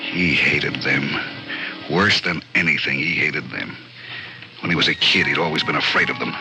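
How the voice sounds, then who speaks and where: A man narrating an old radio thriller in a grim, suspenseful, deliberate manner. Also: rumbling low, poor audio. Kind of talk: dramatic scripted narration, 1940s-50s radio thriller. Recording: old radio recording, loud music behind.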